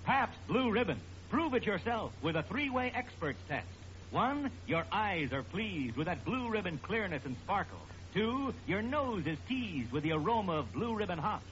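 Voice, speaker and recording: A man delivering a scripted mid-century radio commercial pitch. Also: big pitch swings, moderate pace, fairly bright tone, slight background noise. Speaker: commercial announcer. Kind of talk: 1940s-50s radio advertising pitch. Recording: dull old radio recording. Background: music.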